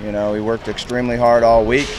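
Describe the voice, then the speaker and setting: A man in his fifties, a head football coach, answering reporters outdoors at a stadium in a plain, measured way with lots of "you know" fillers. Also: medium pitch, flat delivery, noisy background. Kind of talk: post-game press interview.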